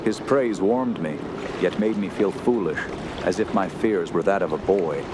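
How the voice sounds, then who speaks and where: An actor playing an earnest, shaken young woodsman who tells his story in a radio horror drama, halting and solemn. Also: low voice, lightly acted. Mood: haunted, solemn. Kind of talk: radio horror narration and acting. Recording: loud steady outdoor background noise.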